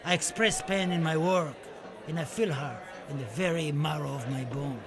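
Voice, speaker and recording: High-voiced, impassioned Spanish poet, acted by a man. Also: normal speed, a crowd in the background, natural voice. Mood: fervent. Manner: impassioned, declamatory, dramatic. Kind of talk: theatrical radio-play monologue and poetry recital. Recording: music under the voice. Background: music.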